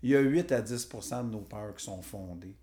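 A man's voice: fairly low pitch, brisk, mellow. A man with a Québécois French accent hosting an interview, asking questions with many hesitations and fillers. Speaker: podcast host. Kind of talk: podcast interview.

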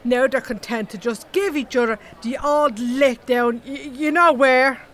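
An actress playing a blunt, chatty, gossipy Irish animal-rights activist with an earthy colloquial manner in a comedy audio drama. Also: female voice, high-pitched, steady tone, measured pace, a little hiss or hum. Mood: cheeky.

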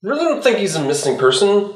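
A man playing a bumbling small-town policeman in an improvised comic radio play, halting and repeating his words, recorded in an echoey room. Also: high-pitched, very animated. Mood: dismissive, muddled.

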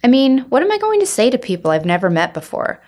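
A woman's voice: on the high side, wildly swooping pitch, fast, a little mellow. Woman expressively reading a children's story. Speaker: reader of a children's book. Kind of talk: expressive storybook read-aloud.

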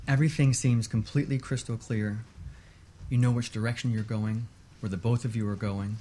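A man's voice: mid-range voice, steady tone, fairly quick, dark tone, a little hiss or hum. A man speaking as a guest expert in a conversational interview, agreeable and emphatic in his affirmations. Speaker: guest psychotherapist.

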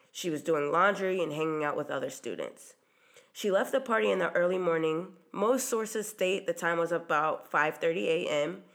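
A woman hosting a true crime podcast, narrating in a casual, chatty way with occasional ums, her voice stuffy from a cold. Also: lowish voice. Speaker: podcast host.

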